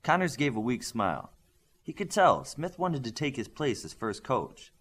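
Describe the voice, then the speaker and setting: A man's voice: mid-range voice, sing-song, moderate pace, fairly bright tone. A man reading a scripted story aloud as an audiobook narrator.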